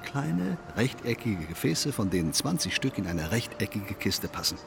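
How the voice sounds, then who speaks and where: An actor in a German radio drama playing a cool, cynical pragmatist, blunt, needling and matter-of-fact. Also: male voice, fairly low pitch, very animated, moderate pace, gravelly, noisy background. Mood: businesslike. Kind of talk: radio drama acting, literary dialogue.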